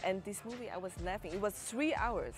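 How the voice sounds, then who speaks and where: A woman's voice: medium pitch, fairly quick, music in the background. A young woman from Switzerland with a Swiss German accent, a guest on a TV show who talks hesitantly and searches for English words, recorded outdoors on a terrace with a lapel mic. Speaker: guest, actress and comedian.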